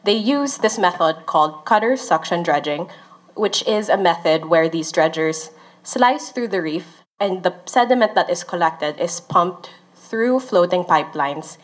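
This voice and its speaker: A woman from the Philippines, a research associate, calmly explaining findings with occasional fillers. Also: fairly low pitch. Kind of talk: explanatory interview talk.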